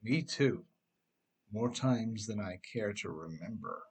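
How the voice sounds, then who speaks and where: A man's voice: fairly low pitch, expressive, takes it slowly, slightly rough. A man narrating his own self-help book in a wry, conversational way, often putting rhetorical questions straight to the listener. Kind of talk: audiobook narration with conversational asides.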